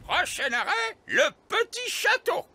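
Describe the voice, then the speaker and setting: An actress voicing a brisk, breezily reckless magical nanny in a children's cartoon, declamatory, with music underneath. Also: female voice, very high voice, sing-song, exaggerated voice. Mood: breezy, gleeful. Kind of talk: animated children's cartoon dub.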